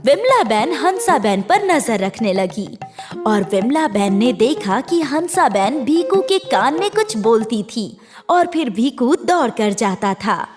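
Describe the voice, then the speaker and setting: A woman narrating a scripted moral story for a children's cartoon. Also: high-pitched.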